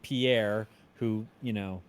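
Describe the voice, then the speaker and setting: A man's voice: on the low side, steady tone, drawn-out words, noisy background. A middle-aged man chatting casually on a group stream, thinking aloud as he tries to recall things. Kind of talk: casual chat.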